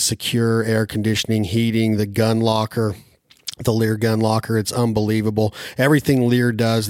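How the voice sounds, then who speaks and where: A male podcast host hypes his sponsors and greets his guest with eager, salesy energy. His pitch stays fairly flat, and the recording is dry and close in a studio. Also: dry recording. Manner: enthusiastic, salesy, list-like delivery. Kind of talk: sponsor reads and interview questions.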